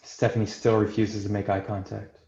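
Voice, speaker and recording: A man's voice: fairly low pitch, flat delivery, fairly quick, creaky, warm tone. Male screenplay narrator: scripted delivery, mid-range voice, roomy and slightly dull recording. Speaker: narrator of stage directions.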